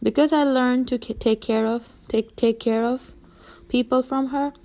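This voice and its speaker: A woman's voice: on the high side, a little slow, creaky. Earnest young woman presenting a seminar. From Bangladesh. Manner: earnest, steady, occasional restarts. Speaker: teacher.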